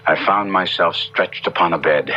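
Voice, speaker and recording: A tormented young nobleman of radio horror in a deep, forceful voice. His diction is archaic and theatrical, full of passion, on a muffled vintage broadcast. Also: fairly even intonation, moderate pace. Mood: fervent, dramatic narration. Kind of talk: old-time radio drama acting. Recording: muffled 1970s radio drama with music.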